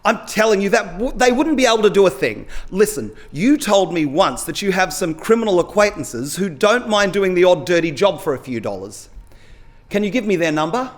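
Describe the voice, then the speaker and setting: A man's voice: high-pitched, big pitch swings, normal speed, pitched above the performer's own voice. A man reading the part of an injured, vengeful cyclist in a story, emphatic and colloquial. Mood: bitter, insistent.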